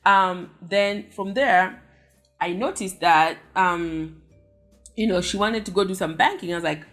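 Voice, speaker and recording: Animated Kenyan woman telling a story. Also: on the low side, unhurried, soft background music. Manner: animated, exclamatory, chatty. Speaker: vlogger. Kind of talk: casual storytelling to viewers.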